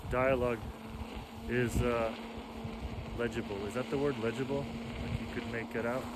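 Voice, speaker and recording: A man in his forties from Russia with a Russian accent, chatting in a relaxed, meandering way while riding outdoors on snowy roads. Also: medium pitch, moderate pace. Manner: relaxed, meandering, self-correcting talk. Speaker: vlogger. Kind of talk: casual rambling chat while riding. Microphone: action camera mic. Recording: loud wind noise outdoors. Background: wind and road noise.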